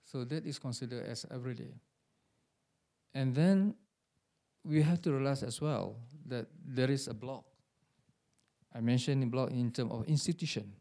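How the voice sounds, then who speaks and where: Gravelly, hesitant man on a panel. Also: medium pitch, warm tone. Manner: hesitant, with many "uh"s and repeated words, in halting English. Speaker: academic panelist. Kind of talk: formal panel remarks.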